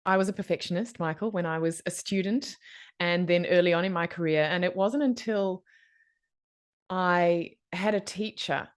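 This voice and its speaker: A woman's voice: fairly low pitch, moderate pace, clear, resonant tone. A woman hosting a podcast interview, speaking conversationally and asking thoughtful, probing questions. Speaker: podcast host.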